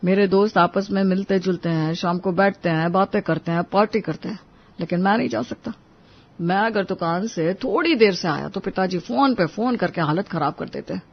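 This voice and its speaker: A man's voice: high voice, moderate pace, gravelly, husky, full character voice. Casting: man as downtrodden young husband, resigned lament, dull lo-fi story recording. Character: weary young husband, oppressed by his strict father. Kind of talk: Hindi moral-story audio drama.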